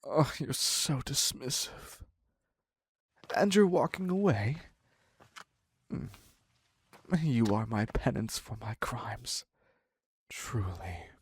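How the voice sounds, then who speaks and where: A man playing an obsessive, clingy criminal captor in an intimate audio roleplay, husky and halting, slipping into whispers. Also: medium pitch, animated, a little hiss or hum. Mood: wounded, pleading. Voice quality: husky, breathy, gravelly. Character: obsessive male yandere captor, ex-asylum criminal, clingy and needy.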